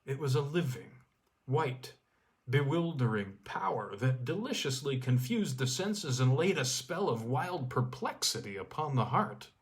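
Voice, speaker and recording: A man reading a literary story aloud as a storyteller, with deliberate phrasing and dramatic pauses. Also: mid-range voice, very animated. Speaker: storyteller, audiobook reader.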